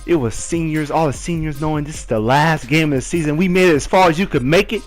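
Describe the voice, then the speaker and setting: A young man telling a personal football story in a casual, animated way, full of slang. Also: fairly high pitch, fast talker, soft background music. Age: young adult.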